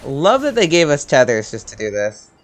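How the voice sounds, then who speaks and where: A man's voice: mid-range voice, wildly swooping pitch, normal speed. A man giving casual, reactive commentary while playing a video game. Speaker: gamer recording a playthrough. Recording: commentary over game music.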